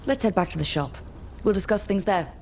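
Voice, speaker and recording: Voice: mid-range voice, a little fast, slight background noise, lightly acted. An actor playing a resolute young hero and leader in a fantasy video game, direct and determined. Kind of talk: dramatic video game acting. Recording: faint music behind.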